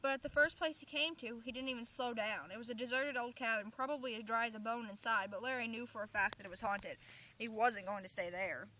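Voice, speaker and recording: A woman tells stories from a book in an informal, chatty way. Her voice is high and bright, recorded with faint background noise. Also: fast talker, rough recording. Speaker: storyteller reading aloud. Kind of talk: informal storybook read-aloud with chatty asides.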